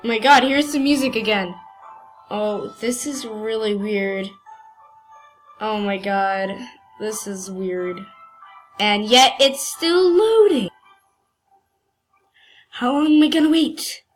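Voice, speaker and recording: Woman with a cold, rambling gameplay commentary, slightly high voice, slow delivery, faint music bed. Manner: hesitant and rambling, many fillers. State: has a cold. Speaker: gaming channel host. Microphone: computer screen recording.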